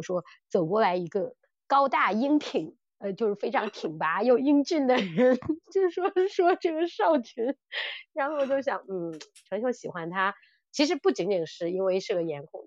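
A middle-aged woman co-hosting a podcast in casual chat, animated and blunt as she talks through audio dramas. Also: mid-range voice, no room sound, lo-fi sound. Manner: animated and blunt, mimics characters' lines. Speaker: podcast host.

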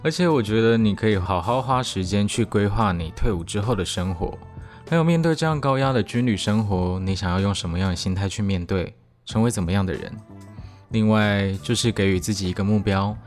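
Guy acting reliable big-brother army vet in a radio play, taiwanese accent, lowish voice, music underneath. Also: a little fast, a little mellow, close to an everyday voice. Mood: earnest, reassuring. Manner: earnest, mentoring. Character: dependable older male housemate, calm army veteran giving advice. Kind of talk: scripted radio drama dialogue. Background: background music.

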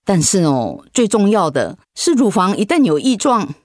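An actress playing a gentle, hesitant middle-aged mother in a radio drama. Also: mid-range voice, sing-song, moderate pace, no room sound, clean studio sound, natural voice. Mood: gentle, reassuring. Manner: gentle, hesitant, conversational with soft fillers.

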